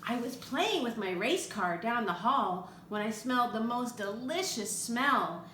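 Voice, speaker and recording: A woman's voice: a little high, animated, unhurried, pushed and bright. A woman voicing an eager, hungry, polite little boy in a children's storybook. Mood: curious. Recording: echoey room with faint background noise.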